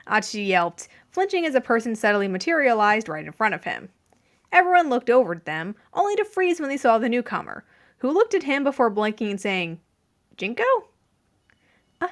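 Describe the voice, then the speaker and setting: A woman narrates a fan story in a fairly high voice. Her reading is expressive and animated, with plenty of rise and fall. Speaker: podfic reader.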